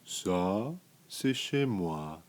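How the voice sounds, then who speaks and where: Man reading as a low-voiced, earnest big storybook bear. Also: expressive, very slow, full character voice. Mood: contented.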